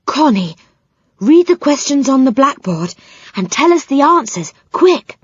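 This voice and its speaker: A woman's voice: sits high, very animated, measured pace, no room sound, highs cut off, pitched above the performer's own voice. A woman voicing a worried fairy girl who whispers urgently in a storybook reading.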